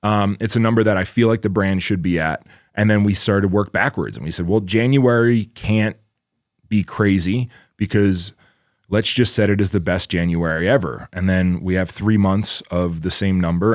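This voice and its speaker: Podcast co-host, male, around forty, very low voice, dry close sound, loose rambling delivery with frequent cursing. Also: moderate pace, dry recording. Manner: rambling, swears freely, many "like" fillers. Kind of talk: casual chat.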